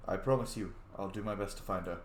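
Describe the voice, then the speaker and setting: A man's voice: low-pitched, fast, slight background noise. A man playing a game, casually thinking aloud and reading out his dialogue choices. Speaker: game player. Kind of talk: let's play commentary, reading dialogue choices. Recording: roomy echo.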